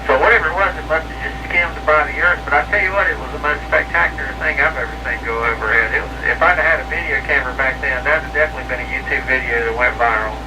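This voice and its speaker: A man's voice: fairly high pitch, fast talker, few pauses. Rambling man on a noisy CB radio. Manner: rambling, repeats and corrects himself. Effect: CB radio transmission. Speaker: hobby CB radio operator. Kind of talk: casual CB radio chat and storytelling. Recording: distant, noisy CB radio transmission.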